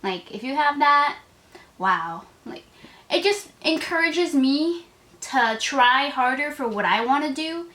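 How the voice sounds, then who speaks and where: Chatty eighteen-year-old girl, slightly high voice. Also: expressive, takes it slowly, pushed and bright. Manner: chatty and gushing, many "like" and "oh my god" fillers. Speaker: vlogger. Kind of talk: casual tag video chat to camera.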